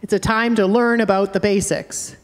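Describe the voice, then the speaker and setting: A middle-aged woman with a Canadian accent, a minister giving a measured, earnest sermon from the pulpit with gentle humor. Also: medium pitch, fairly even intonation. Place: wood-panelled church sanctuary, at a pulpit. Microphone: fixed church camera at a distance. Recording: church with long reverb.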